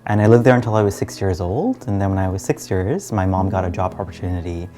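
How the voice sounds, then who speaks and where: Young man, low pitch, from Trinidad and Guyana, reflective and earnest, personal documentary storytelling, clear music underneath. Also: fast talker. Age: young adult. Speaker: community worker telling personal story. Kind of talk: personal documentary interview narration.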